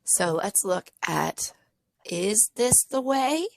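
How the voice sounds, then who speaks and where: A woman tutoring a child in English online, slightly hoarse and losing her voice, modeling sentences slowly and clearly. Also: mid-range voice, animated, no echo. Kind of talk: patient teaching over a video lesson.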